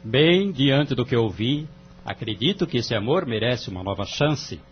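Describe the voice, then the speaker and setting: A Brazilian man playing a calm, wise family-court judge in an audio drama, speaking in a formal, measured, moralizing way. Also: medium pitch, natural voice. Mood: solemn, encouraging. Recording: dull lo-fi audio, music underneath.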